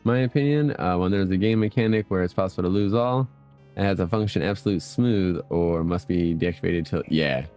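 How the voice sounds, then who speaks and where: A low male voice gives loose, casual gameplay commentary. He mutters profane asides at the game, with music playing behind him. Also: a little fast, a little mellow. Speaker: game streamer.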